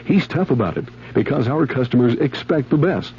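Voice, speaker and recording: A man's voice: mid-range voice, lively intonation, a little fast, a little mellow, a little hiss or hum. An American man reading a scripted 1970s radio commercial as the announcer. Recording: dull old radio recording.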